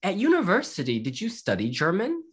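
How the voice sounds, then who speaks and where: A woman's voice: fairly low pitch, huge pitch swings, a little fast, breathy edge. A woman interviewer asking a singer friendly, encouraging questions.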